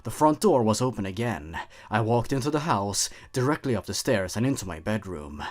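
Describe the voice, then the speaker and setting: A man's voice: fairly low pitch, animated, brisk. A man narrating scripted horror stories in a suspenseful, theatrical way.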